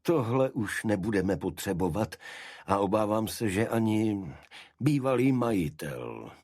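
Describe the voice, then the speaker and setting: A confident Victorian detective, read by a male narrator, with a low, husky voice. He lays out deductions deliberately and precisely in a dry, close audiobook sound. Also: very animated, a little mellow, dry recording. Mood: dry, wry.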